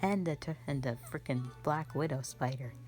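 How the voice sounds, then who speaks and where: A woman's voice: a bass voice, normal speed, darkish tone, soft background music. A woman playing a rambling, easygoing, flirty magic mentor in a fantasy roleplay audio drama, talking in a hesitant, offhand way full of asides. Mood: chatty, musing.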